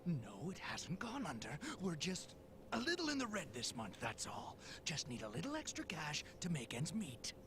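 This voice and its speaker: An actor playing a hot-headed, defensive small-time pirate boss in a cartoonish video game. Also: male voice, fairly high pitch, wildly swooping pitch, normal speed. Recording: noisy, distant game audio.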